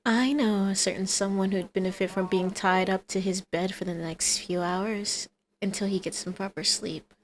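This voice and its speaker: A woman's voice: mid-range voice, fairly even intonation, normal speed, natural voice. A woman playing a flirty female villain and doting mother in an ASMR roleplay, with a teasing, coaxing, sardonic manner. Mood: teasing, insistent.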